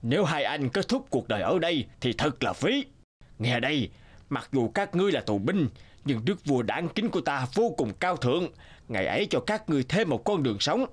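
A man's voice: fairly high pitch, sing-song, moderate pace, husky. A man voicing a menacing enemy jailer in a cartoon, taunting and imperious. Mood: mocking, commanding. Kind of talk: dramatic cartoon dubbing.

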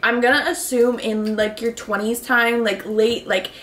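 A woman's voice: fairly high pitch, steady tone, measured pace, a bit bright, a little hiss or hum. A young woman in her twenties chatting casually to camera in her bedroom, reading out viewer messages and giving advice, swearing offhandedly and saying "like" a lot. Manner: bubbly, swears casually, reads messages aloud, lots of "like". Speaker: vlogger answering viewer submissions. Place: bedroom, indoors. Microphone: camera in front, seated. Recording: echoey bedroom.

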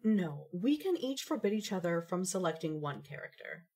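Woman acting low, wry, self-assured young woman. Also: big pitch swings, moderate pace, darkish tone. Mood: dry, wry. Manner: dry, wry.

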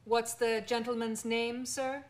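Slow-paced, fairly high female voice, acted, police inspector, radio play, formal, deliberate, questioning. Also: level tone, natural voice. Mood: dry suspicion. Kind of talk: radio drama acting.

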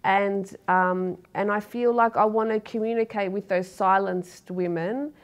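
An Australian woman in her thirties talks about her work in a TV studio interview. She sounds earnest and open, often pausing on fillers and restarting phrases. A lapel mic keeps her voice close and clean. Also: medium pitch, fairly even intonation. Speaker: poet, interview guest. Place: dark TV studio, seated in a chair.

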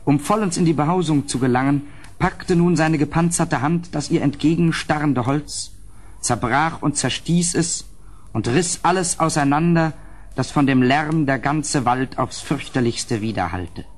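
A man playing an anxious, well-mannered houseguest in a gothic horror radio play, with a grave, literary delivery and a husky voice. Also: fairly high pitch, a little slow. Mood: dramatic reading.

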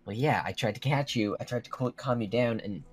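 A man's voice: mid-range voice, fairly quick, natural voice. A young man playing a protective, earnest teenage werewolf friend in a Minecraft roleplay drama, speaking in broken, dramatic phrases. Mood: tense recounting. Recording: music behind the voice.

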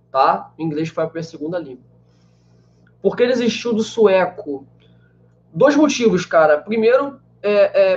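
A young man from Brazil with a fairly high voice answers viewer questions in a loose, rambling way, full of fillers. He talks to a webcam in a small room, and the sound comes through a little dull and lo-fi. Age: 20s. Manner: chatty, many fillers like "né" and "cara". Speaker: language teacher answering live chat. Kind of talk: casual live-stream Q&A. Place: small room indoors with a banner behind.

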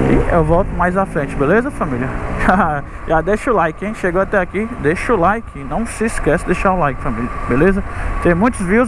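A young man, chatting casually to his viewers while riding a motorcycle at night, recorded on a helmet camera. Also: fairly high pitch. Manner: chatty, friendly, calls viewers family. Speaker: motovlogger host. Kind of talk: casual motorcycle vlog narration. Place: riding a motorcycle on a city beachfront road at night. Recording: traffic and wind noise outdoors.

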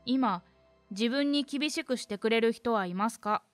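A brash schoolboy from a voice drama, acted by a woman, with a slightly high, boyish voice. He talks bluntly and gets defensive easily, yet is good-hearted, and soft music plays behind him. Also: measured pace, lightly acted. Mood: matter-of-fact pride. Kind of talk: voice drama acting.

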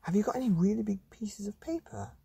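A man as a stubborn, husky-voiced little boy. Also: high voice, big pitch swings, a little fast, mellow, no room sound, voice pushed up, heavily acted. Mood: matter-of-fact. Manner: short, matter-of-fact replies. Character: stubborn, matter-of-fact young boy who loves drawing.

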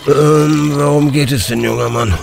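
A man playing a stubborn, wry old husband in an audio drama, with a gravelly voice. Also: a little high, fairly even intonation, moderate pace, noisy background. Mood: wry, friendly. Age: older. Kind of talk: German audio drama acting.

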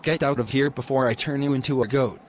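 A man's voice: mid-range voice, fairly even intonation, quick pace, a little hiss or hum, close to an everyday voice. A man voicing a greedy native doctor who scolds and threatens a client. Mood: threatening. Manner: scolding, menacing.

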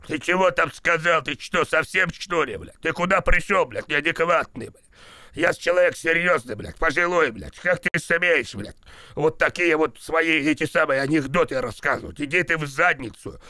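A man's voice: fairly high pitch, normal speed, bright, forceful tone, no echo. A man with a cold streaming live, berating his chat and the ads with crude swearing, then mumbling his thanks and goodbyes. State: has a cold.

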